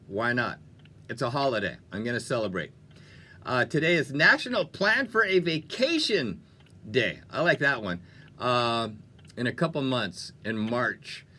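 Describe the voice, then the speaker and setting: A male radio host with a bright, punchy voice at a medium pitch. His intonation swings widely as he moves between upbeat station patter and casual, playful asides. He sounds chatty and jokey, like a DJ talking between songs. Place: radio studio.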